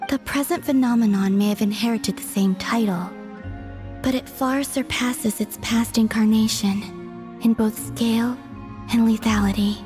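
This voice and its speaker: A woman voicing a calm, detached and mysterious young woman who speaks softly and formally. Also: on the high side, normal speed, music in the background, close to an everyday voice. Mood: serene. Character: serene, mysterious young woman, a former Fatui agent. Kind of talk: game cutscene voice acting.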